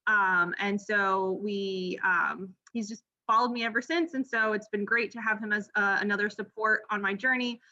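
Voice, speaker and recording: Bright, friendly woman physician in her thirties, relaxed talk with ums; slightly muffled recording. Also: mid-range voice, moderate pace, hardly any pauses. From Miami, Florida, United States. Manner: friendly, frequent ums and restarts. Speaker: pediatric gastroenterology trainee presenting. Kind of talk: informal presentation over slides.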